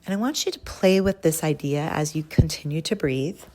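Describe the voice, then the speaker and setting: A woman leading a guided breathing visualization in a gentle, unhurried, instructional manner with long pauses. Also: low voice. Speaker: guided meditation leader.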